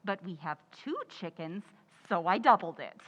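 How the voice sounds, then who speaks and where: A woman playing a flustered, wisecracking housewife in old-time radio sitcom style, with quick sarcastic comebacks. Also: lowish voice, expressive, fairly bright tone. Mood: perky, puzzled. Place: community theatre stage radio recreation. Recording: echoey hall, dull sound.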